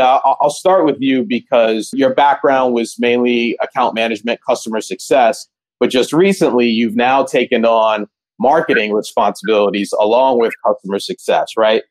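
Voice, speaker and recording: A man's voice: medium pitch, fairly even intonation, moderate pace, no room sound. A man moderating a video call panel, speaking conversationally and often checking in with "right?".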